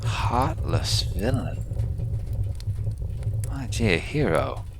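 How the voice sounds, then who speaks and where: A man playing a seductive, courtly and menacing villain overlord in an audio roleplay, breathy, with deliberate, grandiose phrasing. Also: lowish voice, sing-song, noisy background, full character voice. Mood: coaxing, tender. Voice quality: breathy, half-whispered. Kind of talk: slow theatrical audio roleplay monologue addressed to the listener.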